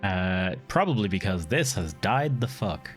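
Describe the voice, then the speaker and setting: A man's voice: low-pitched, sing-song, unhurried, soft background music. A man streaming a game live, chatting casually, swearing freely and laughing often.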